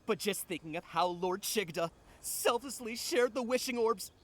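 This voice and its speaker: A man's voice: high voice, normal speed, fairly bright tone. A man playing a zealous, starry-eyed little sprite in a video game, boastful, dramatic and gushing with reverence. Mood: elated. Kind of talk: video game character acting. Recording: music behind the voice.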